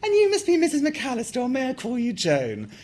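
A man voicing a brisk, professional woman nursing manager in a novel reading, enunciating each word. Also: very high-pitched, wildly swooping pitch, darkish tone, voice pushed up, full character voice. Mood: sprightly.

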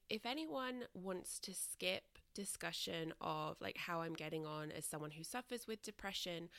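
A woman from Britain hosts a casual solo podcast, her voice a little congested and croaky. Her tone is bright and forward with a gravelly edge, set somewhat low. She rambles candidly and corrects herself with plenty of ums, recorded close and dry. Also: no echo. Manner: chatty and candid, many ums and self-corrections. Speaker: podcast host.

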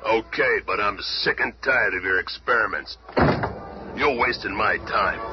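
An actor playing a gruff, wisecracking monster-man with a hot temper in a radio superhero drama. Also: on the low side, measured pace, lightly acted. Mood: grumbling. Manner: sarcastic, slangy, blustering. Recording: dull lo-fi old radio, loud music.

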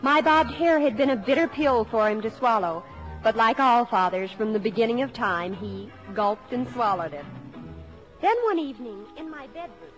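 Woman playing a high-pitched, defiant teenage daughter. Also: lively intonation, moderate pace, natural voice, poor audio. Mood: wry, reflective. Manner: earnest, melodramatic, defiant. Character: rebellious, self-pitying teenage eldest daughter, narrating her memories. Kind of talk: 1950s radio acting. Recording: old radio broadcast with music.

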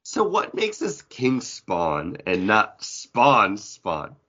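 Husky, animated man on a lo-fi recording. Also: on the low side, very slow. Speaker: podcast co-host. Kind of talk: casual comic book discussion. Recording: dull, lo-fi podcast sound.

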